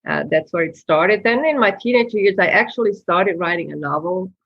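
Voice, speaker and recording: Low-voiced woman on a dull remote line. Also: animated, gravelly, slightly rough, no room sound. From Switzerland. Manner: earnest, storytelling, slightly halting. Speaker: guest, screenwriter. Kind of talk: interview answers.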